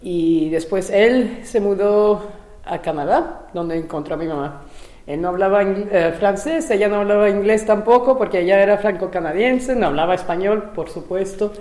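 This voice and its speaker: A woman, a playwright giving an interview, talking in a casual recollecting way with pauses, fillers and uneven pace. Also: medium pitch, dark tone, echoey room. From Venezuela. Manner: hesitant, restarts and fillers, speeds up and slows down.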